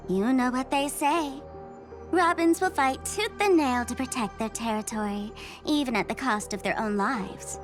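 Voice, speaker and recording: A woman's voice: sits high, moderate pace, lightly acted. A woman voicing a mischievous, taunting young female trickster in a dramatic video game performance, with a mocking, theatrical delivery. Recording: loud music behind the voice. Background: loud background music.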